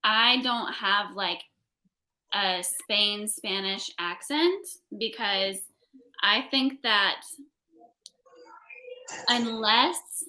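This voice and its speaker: A woman's voice: medium pitch, drawn-out words, pauses often, sharp tone, rough recording. A young American woman tutoring English in a casual one-on-one conversation, phrasing things simply for a learner. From United States.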